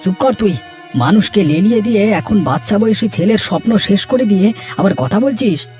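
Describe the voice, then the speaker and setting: A man's voice: high-pitched, lively intonation, fairly quick, darkish tone, soft background music. A man voicing an aggrieved villager in a melodramatic cartoon moral tale, emphatic and lamenting. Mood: indignant.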